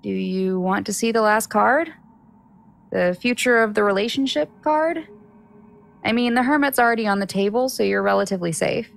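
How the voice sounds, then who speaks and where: An actress as creaky-voiced wry tarot reader. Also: female voice, mid-range voice, moderate pace, lightly acted. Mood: concerned. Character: wry, reassuring female tarot card reader. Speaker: actor in an audio drama. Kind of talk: audio drama dialogue.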